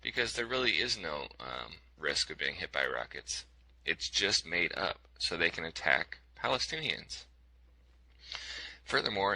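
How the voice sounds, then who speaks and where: A man's voice: low voice, pushed and bright, no room sound, poor audio. A man giving a scripted commentary over video clips in a sarcastic, skeptical tone, with frequent fillers.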